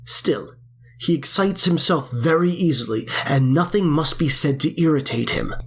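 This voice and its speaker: A composed country doctor, played by an actor, formal and measured, with a husky, breathy edge to the voice. He reasons gently and never hurries. It is an audio drama part. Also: fairly high pitch. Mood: soothing. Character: calm, measured country doctor, middle-aged man.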